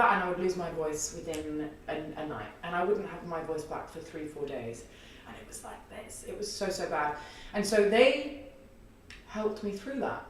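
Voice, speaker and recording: A young woman with a husky, low voice, chatting as a podcast guest and rambling through stories with frequent laughs. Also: a bit bright. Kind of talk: casual interview chat. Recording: echoey room, distant mic, faint noise.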